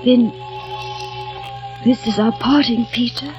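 A woman's voice: on the high side, steady tone, slow. An actress playing a young woman from the eighteenth century, who speaks tenderly and earnestly in a 1940s radio drama. She bids her lover a poetic farewell. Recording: dull lo-fi old radio recording with music.

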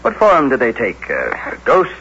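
An actor playing a measured, politely skeptical police inspector with a husky voice, in old radio drama style. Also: male voice, mid-range voice, wildly swooping pitch, bright, forceful tone, full character voice. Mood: politely probing. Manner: deliberate, polite, probing. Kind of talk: 1940s-50s radio drama acting. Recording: muffled old radio recording.

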